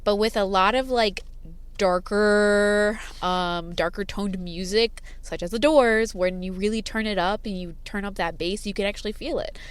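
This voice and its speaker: Smooth-voiced chatty woman guest. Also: medium pitch, fairly even intonation, moderate pace. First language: sign language. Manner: chatty, rambling, many fillers and laughs. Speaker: band singer-songwriter, guest. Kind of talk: casual interview chat. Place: parked car.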